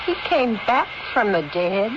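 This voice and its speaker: An actress playing a loyal, anxious, protective housekeeper in a 1970s radio drama, earnest in manner. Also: female voice, on the high side, big pitch swings, unhurried. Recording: muffled, noisy old radio recording.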